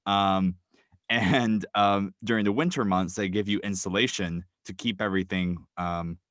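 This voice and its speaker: Guy with a low voice rambling on a podcast from his closet, blanket over the mic, super dry sound. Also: fairly even intonation, unhurried, a bit bright, no room sound. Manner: rambling, lots of ums and likes. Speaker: podcast guest. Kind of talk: casual chat. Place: small cramped closet. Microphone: microphone wrapped in a blanket.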